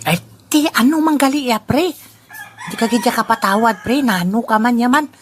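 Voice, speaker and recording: A woman's voice: a little high, normal speed, a little hiss or hum, lightly acted. A woman voicing a scheming old man, a drinking buddy who chuckles and laughs often, in an amateur radio play. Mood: friendly, sly. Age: over 60.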